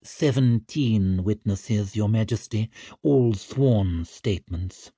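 A man's voice: on the low side, slow, dark tone, slightly rough, no room sound, exaggerated voice. A man voicing a dry, contemptuous, toothless old official in an audiobook, with a sardonic, disdainful manner.

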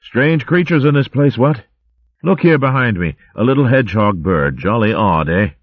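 A man's voice: mid-range voice, expressive, moderate pace, no echo, highs cut off, pitched above the performer's own voice, natural voice. A man voicing a pompous greedy male hare. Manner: blustering upper-class slang.

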